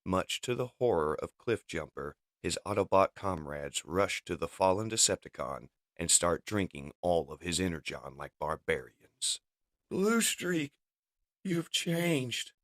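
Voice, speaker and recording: A man's voice: deep voice, animated, moderate pace, hardly any pauses, fairly bright tone, no echo, clean studio sound. A man narrating a comic dub with dramatic, emphatic scripted storytelling.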